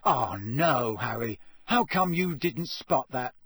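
A man's voice: mid-range voice, expressive, takes it slowly, fairly bright tone, husky, no room sound, studio quality, heavily acted. A man voicing a breezy, generous teenage boy for an audiobook, talking in a colloquial way. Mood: amused, generous. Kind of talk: audiobook character voice.

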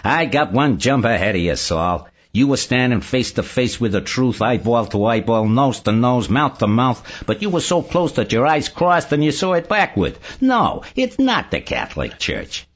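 A man's voice: medium pitch, very animated, moderate pace, few pauses. A man voicing a confident, eager male investigator in audiobook dialogue, explaining emphatically, on a dull lo-fi recording. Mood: emphatic, triumphant.

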